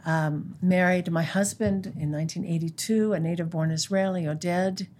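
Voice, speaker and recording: A woman's voice: on the low side, steady tone, normal speed, darkish tone, a little hiss or hum. An American woman in her sixties, a guest who leads a pro-life group, talking earnestly in an interview and quoting scripture. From United States. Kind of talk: conversational interview.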